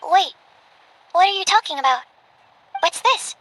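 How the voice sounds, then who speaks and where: A woman's voice: extremely high pitch, expressive, unhurried, bright, forceful tone, slight background noise, exaggerated voice, rough recording. A woman playing an earnest, bookish young female alchemist in an anime-style video game, polite and easily flustered. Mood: surprised. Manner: earnest, formal, hesitant when flustered. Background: music.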